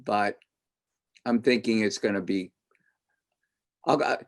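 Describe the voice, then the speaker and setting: A man hosting an online panel discussion, talking in an animated, opinionated way and thinking aloud. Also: lowish voice, slow pace.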